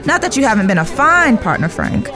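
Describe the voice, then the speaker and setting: Genteel, high-voiced frontier lady played by a woman. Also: sing-song, brisk. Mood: insistent. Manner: genteel, formal, gently teasing. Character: poised, insistent, playful Old West lady. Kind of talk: western audio drama acting. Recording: music under the voice.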